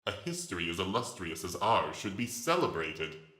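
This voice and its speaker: A huge reptilian villain, speaks with mocking courtesy and grand self-importance in a sci-fi audio drama. The voice is very low, with a forceful edge, in an echoing recording. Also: male voice, sing-song, moderate pace, exaggerated voice. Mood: grandiose. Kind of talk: dramatic reading of a sci-fi story. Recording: echoing, reverberant voice track.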